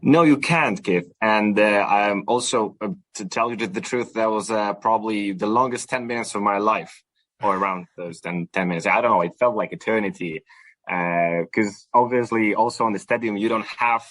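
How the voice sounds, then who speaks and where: A man's voice: on the low side, moderate pace, fairly bright tone, no room sound, low-quality recording. A young man from Ukraine, chatting as a podcast guest with many fillers and restarts. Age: young adult. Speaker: supporters club guest. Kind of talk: casual podcast interview.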